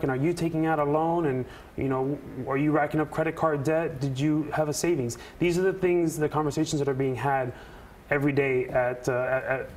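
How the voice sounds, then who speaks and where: A man speaking as a union representative in a news interview, earnest and emphatic, with deliberate phrasing. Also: on the high side, a little mellow, slight background noise. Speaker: air traffic controller and union representative, interview guest. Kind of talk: live news interview answers.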